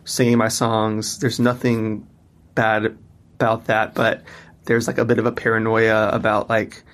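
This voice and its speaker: A man's voice: fairly low pitch, completely flat delivery, a little slow, a bit bright. A man in his thirties or forties, a musician, chatting loosely in an interview with lots of restarts. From Mississippi, US. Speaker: musician guest. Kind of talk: casual interview conversation.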